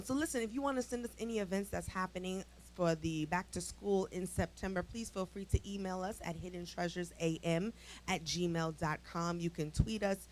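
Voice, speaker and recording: A woman co-hosting a community talk show, conversational and informal, moving between announcements and banter. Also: lowish voice.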